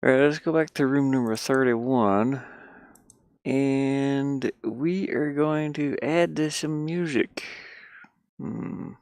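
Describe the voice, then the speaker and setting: A man thinking aloud in a casual, halting mutter as he works on a game at his computer, talking mostly to himself. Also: medium pitch, darkish tone, dry recording. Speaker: hobby game developer. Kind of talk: casual think-aloud screen-recording commentary.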